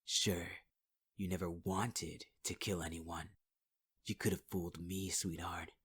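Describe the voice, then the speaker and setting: A man's voice: sits low, little pitch movement, unhurried, a bit bright, husky. A man playing a whispering, menacing villain. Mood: taunting. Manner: taunting, cold, calling the listener sweetheart; half-whispered delivery. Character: menacing male villain, possessive and cruel. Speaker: audio roleplay performer. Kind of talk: ASMR audio roleplay.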